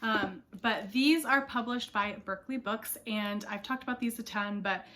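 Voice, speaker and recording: A woman's voice: mid-range voice, unhurried, pushed and bright. A young woman book vlogger chatting casually to camera, her voice not fully back after an illness, rambling with fillers.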